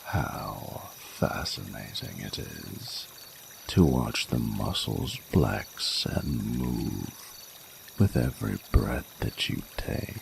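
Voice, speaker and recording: A man's voice: very deep voice, creaky, slight background noise, exaggerated voice. A man voicing a flirty, courtly male dragon in a romantic audio roleplay, speaking formally and soothingly in intimate ASMR style. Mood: flirty, admiring. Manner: formal, flowery, unhurried, soothing speech. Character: ancient-sounding flirty male dragon, tender, courtly lover.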